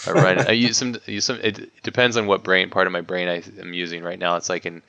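A man's voice: low-pitched, little pitch movement, fairly quick, vocal fry, pushed and bright, smooth, dry recording, dull audio. A man in his thirties hosting a podcast interview, rambling with frequent restarts and laughs. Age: 30s. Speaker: podcast host. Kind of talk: casual interview chat.

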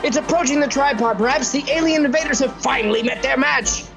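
A man playing an excitable, stammering, bumbling field reporter in a spoof of 1930s radio news, given to breathless melodrama. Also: very high voice, fast, gravelly, music in the background. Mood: awed, frantic.

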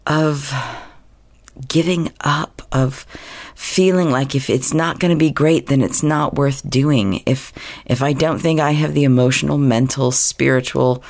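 Sick woman with a deep gravelly voice. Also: measured pace, darkish tone. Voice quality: husky low voice. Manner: chatty, laughs, restarts words. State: sick, voice deeper than usual. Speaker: podcast host. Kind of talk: casual podcast talk.

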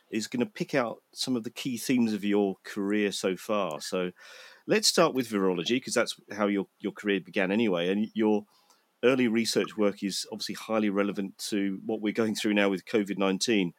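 A man's voice: on the low side, dry recording. A man interviewing a guest, often repeating words and restarting phrases. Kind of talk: interview conversation.

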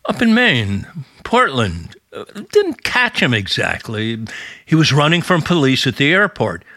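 A man's voice: medium pitch, very animated, unhurried, pushed and bright, no echo, full character voice. An actor playing a folksy, gossipy American small-town family man telling things with chatty relish, in vintage radio drama style.

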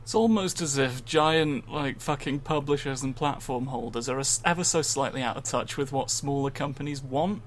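A man's voice: mid-range voice, normal speed, few pauses, slight background noise. A man co-hosting a casual games podcast, sarcastic and swearing freely. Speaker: podcast co-host.

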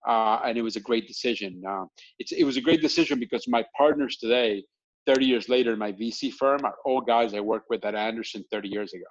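A man from Colombia telling his story as an interview guest, talking casually and haltingly with many fillers and restarts. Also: medium pitch, animated, creaky, dull audio. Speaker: guest entrepreneur. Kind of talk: casual interview on a video call.

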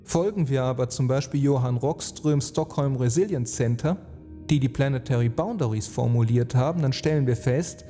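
A man narrating an earnest, reflective spoken essay, phrasing deliberately and emphatically with rhetorical weight. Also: mid-range voice, warm tone. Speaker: speaker and author on climate and sustainability. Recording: long reverb, music underneath. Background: music.

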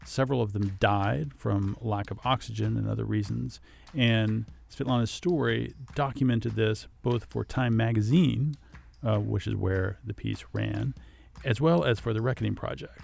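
Gravelly low-voiced male podcast host. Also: expressive, normal speed, darkish tone, slight background noise. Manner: friendly, conversational, with ums. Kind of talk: interview hosting.

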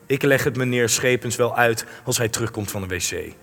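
Radio play: earnest, defensive male researcher, husky voice, reverberant sound. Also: medium pitch, brisk, fairly bright tone. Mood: irritated, defensive. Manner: earnest, argumentative. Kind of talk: Dutch radio play acting. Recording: long reverb, music underneath.

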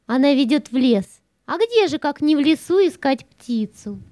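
A woman's voice: sits high, big pitch swings, normal speed, warm tone, no echo, studio quality. A woman playing a sly, scheming cat with an insinuating, theatrical manner in a children's audio play. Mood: coaxing, sly. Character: sly, scheming female cat, spiteful and coaxing.

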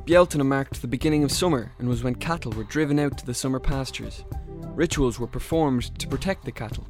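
A man's voice: medium pitch, darkish tone. An Irish man hosting a radio interview, conversational and encouraging as he presents.

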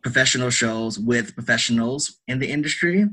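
A man's voice: mid-range voice, moderate pace, pushed and bright, breathy edge, poor audio. A man speaking as a theatre artist being interviewed, answering conversationally in an emphatic, earnest way and repeating phrases for stress. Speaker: guest, theatre director and artist.

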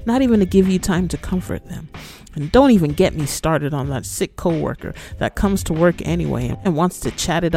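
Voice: mid-range voice, vocal fry, darkish tone. A podcast host narrating a scripted educational piece in a playful, conversational way.

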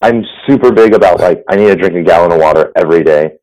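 A slightly hoarse man hosting a casual tech podcast over a phone line, rambling with fillers and restarts. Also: low voice, fast, darkish tone. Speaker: podcast host. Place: business center. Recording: phone-quality audio.

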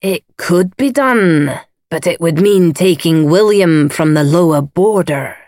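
Woman as a stern, slow Scottish gardener. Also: low voice, no room sound, clean studio sound. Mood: discouraging. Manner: slow, firm, noncommittal, quietly menacing. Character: stern dour Scottish head gardener, a man, stubborn. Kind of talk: audiobook character voice.

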